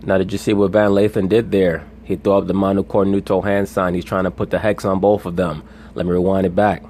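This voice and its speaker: A man with a very low voice delivers a commentary monologue in a deliberate, lecturing manner. His cadence rises and falls like a preacher's, and he lays out each point slowly and firmly. A faint hiss sits under the recording. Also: level tone, darkish tone. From United States. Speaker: video commentator. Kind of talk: monologue commentary on played clips.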